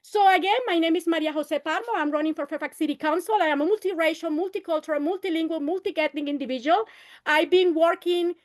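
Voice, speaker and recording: A woman's voice: extremely high pitch, fast talker. A woman in her fifties running for city council, giving an impassioned campaign speech at a meeting, moving between Spanish and English and repeating her calls for unity. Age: 50s. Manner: impassioned, rallying, repeats phrases.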